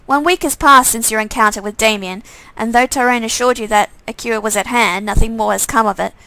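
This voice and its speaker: A woman game streamer with a fairly high voice and a heavy creak in it. She reads on-screen story text aloud in a casual let's-play way, now and then tripping on a phrase and saying it again. The sound is close and dry. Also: a little fast, bright, forceful tone, dry recording, poor audio. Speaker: streamer playing and reading a visual novel aloud.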